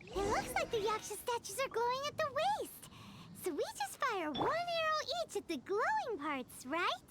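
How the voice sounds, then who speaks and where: A woman's voice: big pitch swings, normal speed, heavy background noise. A woman voicing a tiny, chirpy, childlike fairy companion in a game, who speaks of herself in the third person. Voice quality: squeaky, bouncy, refers to herself in third person. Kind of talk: game voice acting.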